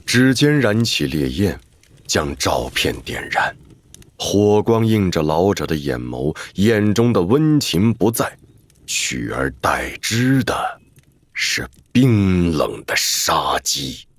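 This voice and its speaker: Casting: male narrator, low voice with lively swings, dramatic storytelling. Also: slow, dry recording.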